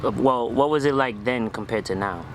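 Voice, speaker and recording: A man's voice: mid-range voice, normal speed. A man interviewing people on the street, asking easygoing questions and filling the gaps with short affirmations. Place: outdoors on a city street. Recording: noisy street with traffic.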